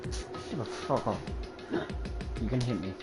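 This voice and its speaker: A woman's voice: extremely low pitch, a little slow. A woman streamer reading game dialogue aloud and bantering with friends, exasperated and swearing often. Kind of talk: casual livestream game read-along. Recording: game music playing behind.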